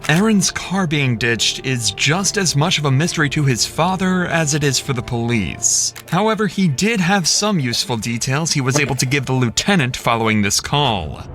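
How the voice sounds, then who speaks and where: A man giving measured, dramatic scripted narration for a true-crime documentary. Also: a little high, animated, fairly bright tone. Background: music.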